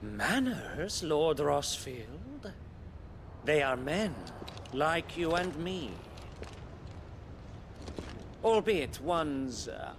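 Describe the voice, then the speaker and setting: A man's voice: on the high side, noisy background, full character voice. A man playing a haughty noble villain in a video game, with a mock-courteous, theatrical delivery that savors each phrase. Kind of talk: dramatic video game acting. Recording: loud game music behind.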